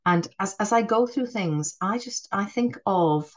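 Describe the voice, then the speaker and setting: A woman's voice: medium pitch, animated, measured pace. A middle-aged head teacher from the Northeast of England with a Northeastern accent, speaking reflectively in a podcast interview, often restarting her sentences. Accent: Northeast English. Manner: warm, reflective, frequent restarts.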